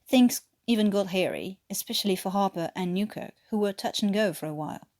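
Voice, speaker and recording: A woman from France reads a story aloud in a French-accented voice of medium pitch. Her delivery is that of an expressive amateur narrator, with an occasional stumble over a word. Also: fairly quick. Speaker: amateur audiobook reader.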